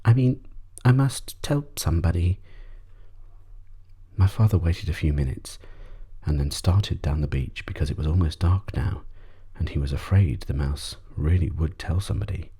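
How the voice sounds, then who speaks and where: Very deep, breathy man reading a bedtime story. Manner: soft, measured. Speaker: audiobook narrator.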